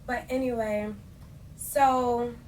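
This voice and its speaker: A woman's voice: a little high, fairly even intonation, slow, a little mellow. A young woman hosting a casual podcast chat, her voice strained and worn, talking in slangy, laughing bursts. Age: young adult. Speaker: podcast host. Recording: distant mic with faint noise.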